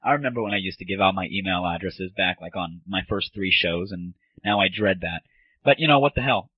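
A man's voice: lowish voice, slightly rough. A man hosting a comedy audio show, ranting casually in a sarcastic, rambling, profane way. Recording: muffled lo-fi 1990s internet audio. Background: music.

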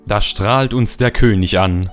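A young man with a slightly rough, cold-worn voice, chatting playfully and rambling as he narrates his gameplay. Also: lowish voice, measured pace, faint music behind. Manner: playful, rambling, repeats words for fun. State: hoarse from a cold. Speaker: let's play host. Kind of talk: casual gameplay commentary.

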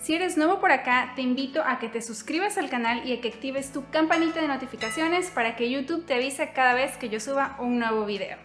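A woman's voice: high-pitched, a little fast, hardly any pauses. A young woman hosting her own channel, explaining a topic in an upbeat way straight to her viewers from a room at home. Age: young adult. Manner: upbeat, direct address to viewers. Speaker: YouTube host. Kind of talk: scripted vlog explainer. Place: indoor room, seated on couch. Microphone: fixed camera, medium shot. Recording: echoey room with soft background music.

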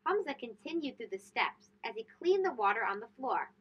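A woman reads a children's storybook aloud in a high voice. Her delivery is expressive and very animated, leaning on key words. The recording sounds a little dull and lo-fi. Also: fairly quick, slightly rough. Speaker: storybook reader and host.

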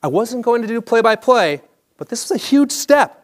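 A man's voice: very high voice, very animated, normal speed. A middle-aged American man reading a scripted personal story on stage with a practised broadcaster's delivery. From Chicago area, United States. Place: theatre stage before a live audience. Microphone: clip-on lapel mic.

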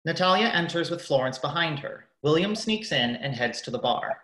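A woman's voice: sits low, lively intonation, normal speed, fairly bright tone, reverberant, poor audio. A woman narrating a radio play, reading out scripted stage directions.

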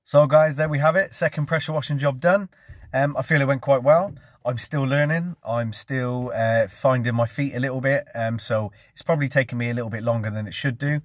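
A man's voice: mid-range voice, a little fast, dry recording, clean studio sound. Chatty English man vlogging in a van. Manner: relaxed, chatty, with frequent ums. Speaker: window cleaner vlogger. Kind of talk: casual how-to vlog. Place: inside a parked van. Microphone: phone camera at arm's length.

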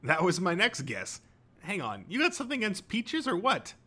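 A man's voice: high-pitched, huge pitch swings, moderate pace, bright, forceful tone, voice pushed up, exaggerated voice. A man voicing a cheerful, encouraging teenage boy in an audiobook, upbeat and casual like a big brother. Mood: playful, upbeat.

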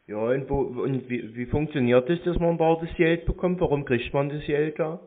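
A man's voice: a little high, moderate pace. A man talking casually on the phone, asking questions in a hesitant, rambling way. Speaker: prank caller posing as a customer. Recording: muffled narrow-band phone line.

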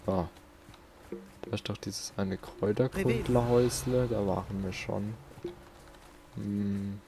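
A man's voice: lowish voice, steady tone, slow, gravelly, background noise. A man with a southern German accent giving casual, colloquial gameplay commentary, muttering to himself as he goes. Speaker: let's play host. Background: game music.

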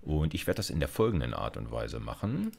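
A man in his fifties with a very low voice and lively, swinging intonation. He lectures informally like a university teacher coding live, speaking conversationally and checking in with listeners often. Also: brisk, vocal fry. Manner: conversational, with frequent "okay" and "ja" check-ins. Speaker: university computer science lecturer. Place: indoors, recorded at a computer desk.

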